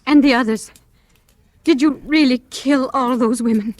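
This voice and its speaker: A woman's voice: high-pitched, normal speed, dry recording, close to an everyday voice. An actress playing an earnest, devoted landlady, hesitant and pleading, in 1940s radio drama style. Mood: nervous probing. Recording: vintage 1940s radio recording.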